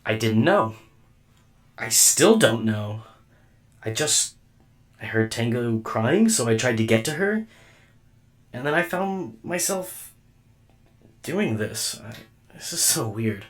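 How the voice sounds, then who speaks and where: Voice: mid-range voice, big pitch swings, slow, long pauses. An actor voicing a mild, bemused robot companion in a radio drama, hesitant and plainspoken. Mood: bewildered. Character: mild, bemused robot companion who just gained legs.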